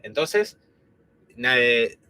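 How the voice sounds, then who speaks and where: A man in his thirties from Argentina, his Argentine Spanish accent softened by years in Spain, chatting casually as co-host of a live stream with many fillers and restarts. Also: medium pitch, very animated, very slow, smooth. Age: 30s.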